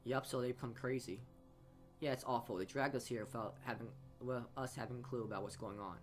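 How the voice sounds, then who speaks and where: A man's voice: mid-range voice, fairly even intonation, fairly quick, faint music behind. A man reading a story game aloud in informal narration, often stumbling and going back over his words. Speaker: player reading a visual novel aloud.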